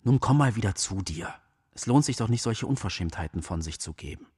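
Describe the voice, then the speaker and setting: A man's voice: fairly low pitch, expressive, fairly quick, over music, close to an everyday voice. A man playing a squabbling male sparrow in a children's radio play, scolding and coaxing. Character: quarrelling male sparrow, scolding yet conciliatory.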